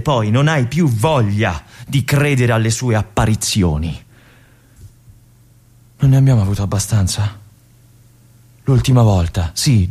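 An actor playing a disillusioned young army lieutenant at a remote fortress, speaking to his colonel in a confiding, pleading monologue with weighty pauses. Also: mid-range voice, darkish tone, husky. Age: young adult. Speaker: stage actor. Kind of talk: theatrical monologue addressed to a colonel.

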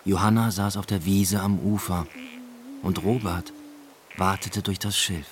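A man's voice: low voice, steady tone, a little slow, background noise. An actor playing a brooding, evasive father who narrates his own story in a literary radio drama. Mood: brooding, reflective. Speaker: narrator and lead character.

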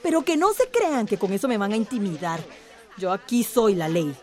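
A woman's voice: mid-range voice, big pitch swings, normal speed, over a noisy crowd, close to an everyday voice. An actress playing a haughty, authoritarian woman mayor in a radio drama, imperious and scornful. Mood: irritated, imperious.

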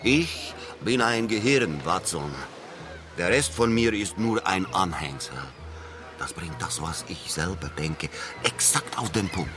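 An actor in a German radio drama playing a boastful, famous escape artist with a showy, theatrical manner. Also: male voice, low-pitched, lively intonation, a little slow. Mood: enthusiastic. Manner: showy, self-satisfied, theatrical. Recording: music behind the voice.

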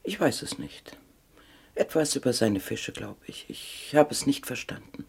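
A woman playing a gunman's grief-numbed wife in a radio crime drama, speaking in a hushed, terse, husky voice. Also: rumbling low, expressive, a little slow, heavily acted. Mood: numb, grieving. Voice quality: husky, breathy. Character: grave, subdued wife of a gunman, numb with grief.

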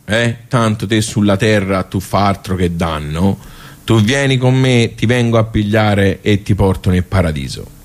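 A man's voice: fairly low pitch, expressive, measured pace. A man voicing God the Father as a casual, impatient, colloquial figure with a Tuscan accent in a radio monologue. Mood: impatient, bossy. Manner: colloquial, commanding.

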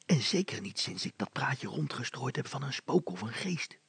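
A man's voice: medium pitch, very animated, normal speed, gravelly, fairly bright tone, breathy edge, dry recording, voice pushed up, heavily acted. A man voicing a simple, nervous young son in a storybook, whispering breathily. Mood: dim, flustered.

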